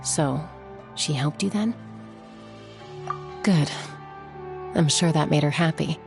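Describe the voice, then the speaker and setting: Low-voiced, regretful elder sister. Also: female voice, takes it slowly, creaky, exaggerated voice. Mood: wistful, gentle. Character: reflective elder sister, gentle, regretful woman warrior. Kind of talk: video game character dialogue. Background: background music.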